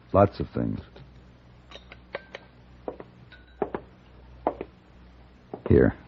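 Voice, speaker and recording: An actor playing a calm, protective, commanding hired gunfighter in an old radio western. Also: male voice, rumbling low, extremely slow, vocal fry, exaggerated voice. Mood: gentle. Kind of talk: 1950s radio western acting. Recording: dull, noisy old radio recording.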